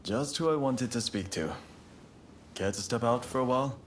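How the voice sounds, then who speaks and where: An actor playing an honorable young samurai in a video game cutscene, speaking formally and solemnly in measured phrases. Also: male voice, mid-range voice, slight background noise, lightly acted. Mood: sincere, warm. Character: earnest, honorable young male samurai of Choshu. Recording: music behind the voice.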